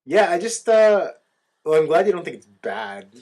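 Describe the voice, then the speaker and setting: A man's voice: sits high, big pitch swings, normal speed, a little mellow. A man co-hosting a podcast, explaining things casually like a knowledgeable fan in conversation. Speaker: podcast co-host. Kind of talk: casual fan chat about anime.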